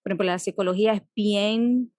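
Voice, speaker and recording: A woman's voice: mid-range voice, steady tone, brisk, darkish tone, dry recording. Dominican woman, reflective, dry sound. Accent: Dominican Spanish. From Dominican Republic. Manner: reflective, restarts and fillers, slips into English phrases. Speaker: guest, psychologist. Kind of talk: casual interview conversation.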